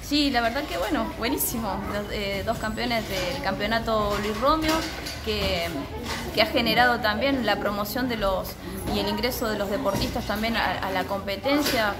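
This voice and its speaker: A woman's voice: mid-range voice, normal speed, loud music behind the voice. A woman gives long, run-on answers in a casual interview as a boxing coach. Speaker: boxing coach and gym representative being interviewed. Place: indoor martial arts gym with children training. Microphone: handheld reporter microphone.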